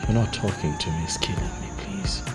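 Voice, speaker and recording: An actor playing a remorseful young king softly pleading with his wife. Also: extremely high pitch, huge pitch swings, brisk, over loud music, full character voice. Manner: soft, pleading.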